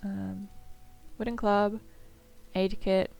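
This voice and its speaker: Woman let's-play host, mid-pitched, nasal from a cold, casual and cheerful, soft music underneath. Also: flat delivery, slow pace, gravelly. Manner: chatty, upbeat, muses aloud with fillers. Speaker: gameplay streamer. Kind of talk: casual let's-play commentary, reading tutorial text aloud.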